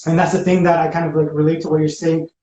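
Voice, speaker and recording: A man's voice: on the high side, little pitch movement, fast talker, warm tone, muffled recording. A man talking casually and reflectively, in informal everyday language. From spent teenage years in Ecuador. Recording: roomy echo, faint background noise.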